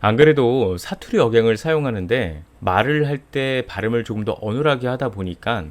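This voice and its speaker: A young man from Seoul hosting a voice channel, gently retelling a viewer's story and giving his view. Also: mid-range voice, lively intonation, brisk. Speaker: host of a voice channel. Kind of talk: storytelling monologue to camera.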